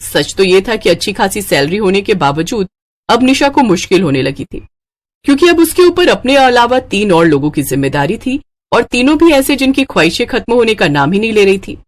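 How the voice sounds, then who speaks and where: A woman's voice: medium pitch, sing-song, fairly quick. A woman narrator reading a scripted moral story.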